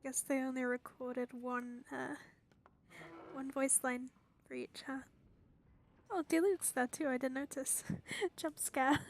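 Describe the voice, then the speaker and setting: A woman's voice: sits high, steady tone, slow, pauses often, slight background noise. A woman giving casual gameplay commentary and reading out dialogue. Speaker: game streamer.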